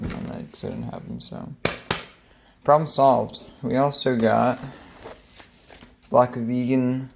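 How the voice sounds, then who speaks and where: A young man talks through his day in a loose, rambling vlog, pausing often to search for words. His mid-pitched voice carries a gravelly edge. He sounds easygoing and unhurried. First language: English. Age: young adult. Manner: relaxed, rambling, many ums. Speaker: vlogger, exchange student. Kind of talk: casual vlog narration.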